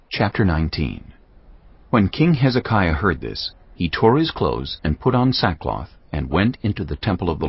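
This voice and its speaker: A man narrating scripture in a grave, measured way. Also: extremely low pitch, creaky, breathy edge. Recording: dull lo-fi audio.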